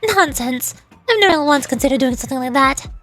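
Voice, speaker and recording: A high-pitched, shifty underworld dealer from a game, voiced by a woman. The figure talks defensively and indignantly, in a hurry, over faint music. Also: animated, a bit bright. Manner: indignant, hurried.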